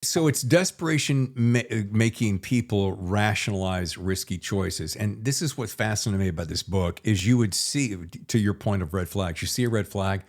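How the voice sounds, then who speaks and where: A man's voice: lowish voice, normal speed, few pauses. A man interviewing a guest conversationally as a podcast host.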